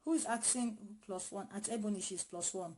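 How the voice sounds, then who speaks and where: A woman's voice: medium pitch, brisk, darkish tone. A woman, chatting directly to viewers during a live question session, recorded on a phone at home. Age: 30s. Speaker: vlogger answering viewer questions. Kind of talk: casual live Q&A chat. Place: small home in a city.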